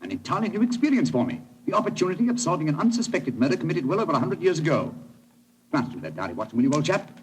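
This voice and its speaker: An actor playing a cool, witty British gentleman detective, clipped and authoritative, in an old radio drama. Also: a little high, big pitch swings, racing, rough recording. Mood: keenly intrigued. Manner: clipped, articulate, wry, authoritative. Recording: vintage radio recording, faint noise.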